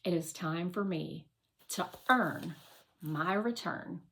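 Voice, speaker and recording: A woman narrating a children's novel aloud with careful, expressive storytelling. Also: lowish voice, slow pace.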